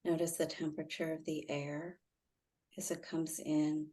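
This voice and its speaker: A woman guiding a meditation in a soothing, gently instructive way, leaving long pauses. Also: low voice, little pitch movement. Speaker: meditation guide, intuitive healer. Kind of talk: guided meditation.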